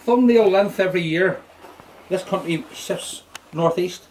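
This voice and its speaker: A man's voice: sits high, a little slow, darkish tone, soft background music. A man giving a guided tour talk outdoors on a coastal path, picked up by a camera in the group. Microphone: camera among the group. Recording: very noisy outdoor camera recording.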